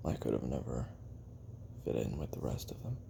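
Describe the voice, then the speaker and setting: A bitter, world-weary male assassin, played by a man in an audio drama confession. His low voice is full of gravel and swings widely as he broods and swears between heavy pauses. There is faint noise behind him. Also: expressive, warm tone, exaggerated voice. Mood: brooding, reflective. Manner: brooding, profane, halting delivery with heavy pauses and sighs.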